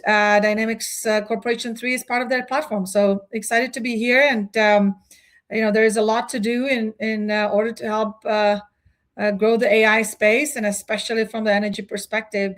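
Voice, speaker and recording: Guest CEO, a woman from the Czech Republic in her forties: thoughtful, halting delivery, middle pitch. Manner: thoughtful, many fillers and restarts. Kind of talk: conversational business interview.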